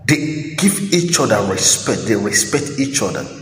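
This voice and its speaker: A man's voice: fairly low pitch, very animated, normal speed, soft background music. Man preaching in an echoey room. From Nigeria. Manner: preachy, emphatic, repeats phrases, many fillers. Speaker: host. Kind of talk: opinionated monologue. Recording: roomy echo with long reverb, faint ambience.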